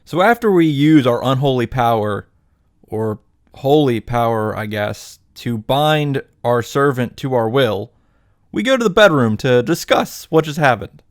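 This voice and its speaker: A man's voice: medium pitch, expressive, unhurried. Profane, exaggerated man recapping a story. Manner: profane, exaggerated, mocking retelling. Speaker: video host reacting to and summarizing a visual novel. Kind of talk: casual, scripted comedic recap narration.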